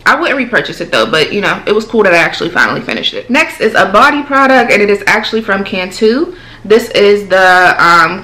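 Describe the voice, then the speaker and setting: A woman's voice: mid-range voice, low-quality recording. A woman in her twenties, a beauty vlogger chatting casually about products, her voice altered while she recovers from throat surgery. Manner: chatty and animated, calls viewers y'all and girl. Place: small room indoors with product shelves.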